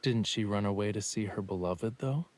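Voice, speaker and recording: An actor playing a teasing, haughty young man in an intimate romance game, with a wry, unhurried delivery. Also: on the low side, close to an everyday voice. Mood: wry, soft. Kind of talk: English game dub, intimate romance acting.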